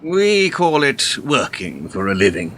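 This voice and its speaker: An actor in a radio drama playing an ageing, smooth-talking political fixer, cynical, measured and self-assured. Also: male voice, medium pitch, wildly swooping pitch, a bit bright, a little hiss or hum. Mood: cool, matter-of-fact.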